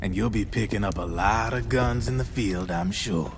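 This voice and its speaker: A man acts out a smooth, wry arms dealer from a video game, his voice low and easygoing. He talks like a streetwise salesman, pushy and full of slang, with loud music underneath. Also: normal speed, close to an everyday voice. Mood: businesslike, persuasive. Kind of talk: video game cutscene acting.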